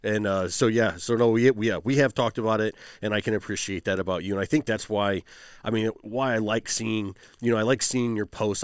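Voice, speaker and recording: Low-voiced casual male host. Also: smooth, no echo. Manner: relaxed, affirming, casual. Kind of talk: casual conversation.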